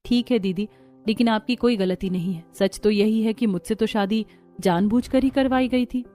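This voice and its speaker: A woman's voice: mid-range voice, normal speed, dark tone, faint music behind, lightly acted. A woman voicing a hurt, self-respecting young daughter-in-law with an earnest, emotional delivery. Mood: wounded.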